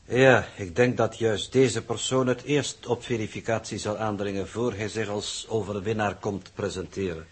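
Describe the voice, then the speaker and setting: An actor playing a formal, suspicious expedition coordinator in a 1960s Dutch radio drama, with stiff, precise, pompous phrasing. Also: male voice, fairly low pitch, fairly even intonation, moderate pace, hardly any pauses, breathy edge. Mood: coolly accusing.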